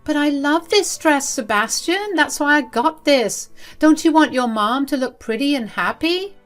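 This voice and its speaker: A woman's voice: lowish voice, unhurried, fairly bright tone, faint music behind. Woman voicing a haughty, spiteful mother-in-law, melodramatic. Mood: wounded, pleading. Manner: melodramatic, accusatory. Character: haughty, entitled, spiteful middle-aged mother-in-law, whining and scolding.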